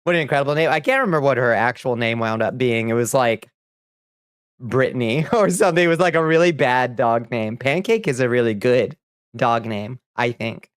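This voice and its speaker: A man's voice: medium pitch, fairly quick, clear, resonant tone, no echo, studio quality. A man streaming a game, chatting casually with viewers and reading their messages aloud, a little sniffly, rambling and laughing easily. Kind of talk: casual chat while gaming.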